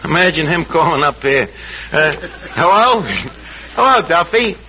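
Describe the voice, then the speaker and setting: An actor playing a pompous, blustering New tavern manager who mangles big words in 1940s radio comedy. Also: male voice, fairly high pitch, lively intonation, measured pace. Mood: boastful. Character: blustering malapropism-prone tavern manager, adult man. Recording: muffled, noisy vintage radio broadcast.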